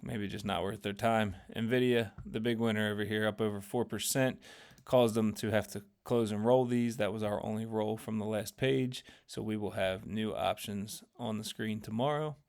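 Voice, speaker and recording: A man walks through his fund's daily trades in a loose, rambling recap, his voice low, gravelly and fairly flat. He keeps stopping to correct himself and fill gaps with ums. His voice is getting hoarse as he goes. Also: moderate pace, few pauses. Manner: conversational, rambling, many fillers and self-corrections. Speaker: finance channel host. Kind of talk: casual screen-share market recap.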